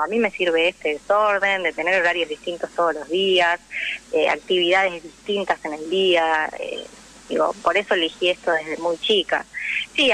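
A woman's voice: fairly low pitch, fairly bright tone, rough recording. A young woman with a Rioplatense Argentine accent, explaining things emphatically in a conversational radio interview, often checking in with her listener. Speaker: radio guest, actress and drama teacher.

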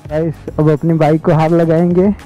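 A man's voice: fairly high pitch, level tone, normal speed, highs cut off, soft background music. A man casually narrating his motorcycle ride on mountain roads, talking to his viewers as he rides. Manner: chatty, repeats "okay so guys". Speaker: motorcycle vlogger. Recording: loud road and engine noise. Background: motorcycle engines and traffic.

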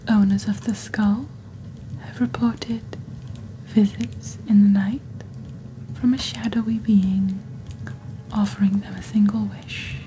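A young woman speaking softly and breathily in a scripted ASMR roleplay, slow, deliberate and eerily formal, as the host of a shopping channel. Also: mid-range voice, level tone, a little hiss or hum, low-quality recording. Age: young adult. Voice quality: soft, breathy, whispery. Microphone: close studio microphone on desk.

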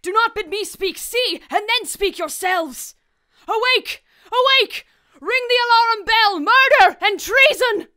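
A woman's voice: very high-pitched, lively intonation, a little slow, pushed and bright, heavily acted. A woman playing a grave, passionate Scottish noble in a Shakespearean radio drama. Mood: horrified.

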